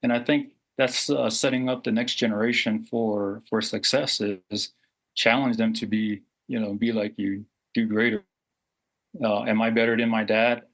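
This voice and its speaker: A man's voice: on the low side, level tone, moderate pace, rough recording. A man in his forties from Samoa, talking earnestly as an interview guest, reflective, with frequent fillers and restarts. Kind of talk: candid interview talk.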